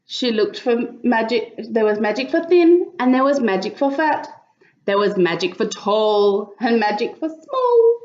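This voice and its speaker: A woman reading a picture book aloud as an expressive storyteller, with dramatic emphasis for young listeners. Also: high-pitched, gravelly, lo-fi sound. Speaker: children's story reader.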